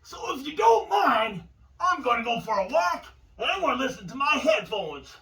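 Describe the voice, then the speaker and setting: High-pitched, animated man giving a tutorial. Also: normal speed, far from the mic. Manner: goofy, exaggerated exclamations and asides. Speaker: instructor. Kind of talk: upbeat tutorial presenting.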